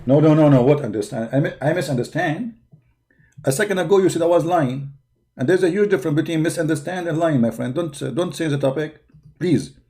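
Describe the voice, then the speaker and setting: A man's voice: fairly high pitch, fairly quick, warm tone. A man hosting a live stream, mocking and arguing back at a video he plays, and laughing often. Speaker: debate host reacting to a video.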